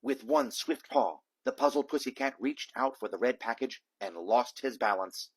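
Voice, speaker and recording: Male storybook reader, a dad reading aloud, mid-pitched voice, dramatic emphasis, animated picture-book delivery. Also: moderate pace, husky.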